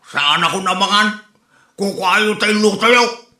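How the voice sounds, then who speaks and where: In a roomy echo, a man acts out a touchy old freeloading father with a very bright, forceful voice. He is stubborn and easily offended, whining his protests in comedy drama style. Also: high-pitched, slow, heavily acted. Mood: indignant.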